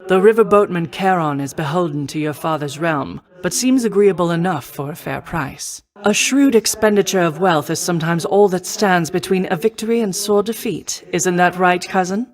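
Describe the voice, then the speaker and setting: A woman playing a noble, protective war goddess in a video game, speaking in formal, measured, old-fashioned phrasing. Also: on the low side, natural voice. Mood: composed, encouraging. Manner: formal, measured, archaic stately diction. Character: noble female war goddess, poised, protective, confident mentor. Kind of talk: scripted video game voice acting.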